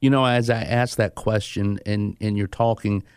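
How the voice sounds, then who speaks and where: A man hosting a radio interview, asking questions in a measured, conversational way. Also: fairly low pitch, steady tone, smooth, no room sound, studio quality. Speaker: radio show host.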